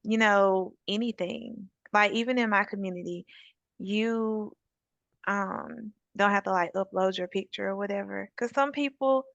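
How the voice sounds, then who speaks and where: A woman with a gravelly, creaky edge to her voice speaks as a guest, giving encouraging and practical advice. Her sound is dry and close, but the audio is dull and lo-fi, as from a remote call mic. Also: mid-range voice, unhurried, fairly bright tone, no echo. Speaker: suicide prevention advocate. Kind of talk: conversational interview guest.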